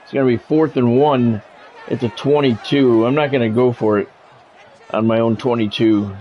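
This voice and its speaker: A man's voice: medium pitch, fairly even intonation, normal speed, dull audio, a faint crowd, a little hiss or hum. An American man doing football play-by-play on a gaming stream, mixed with casual chat. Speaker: gaming streamer calling play-by-play of own game; former radio sports play-by-play announcer.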